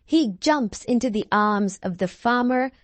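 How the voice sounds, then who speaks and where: A woman reading a children's storybook aloud, deliberately, with drawn-out emphasis on key words. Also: fairly high pitch, lively intonation, heavy background noise. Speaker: storybook reader. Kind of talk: expressive children's read-aloud narration.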